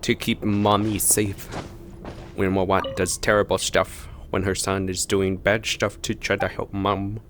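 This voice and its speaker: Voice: deep voice, flat delivery, unhurried, a bit bright, a little hiss or hum. A streamer giving casual, off-the-cuff reaction commentary while playing a video game.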